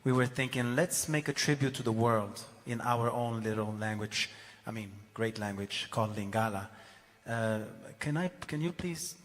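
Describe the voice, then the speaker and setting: A man speaking casually and a little haltingly to a live audience from a stage before singing. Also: mid-range voice, big-room sound. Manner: friendly, a little halting, many fillers. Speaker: singer addressing a live audience.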